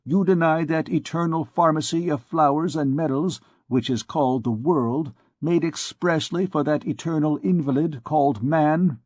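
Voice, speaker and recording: A scornful, hot-tempered royal physician voiced by a male narrator jeers in a raised, strained voice. His speech climbs higher as he argues. The reading sounds close and dry, with no room around it. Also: moderate pace, few pauses, darkish tone, no echo, natural voice. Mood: sarcastic. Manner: scornful, sarcastic, heated. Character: irritable middle-aged male court physician. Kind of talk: audiobook character reading.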